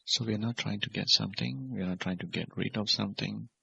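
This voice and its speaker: Low, husky man guiding a meditation. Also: steady tone. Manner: measured, simple phrasing with gentle questions. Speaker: Buddhist monk and meditation teacher. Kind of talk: guided meditation instruction. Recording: dull, lo-fi recording.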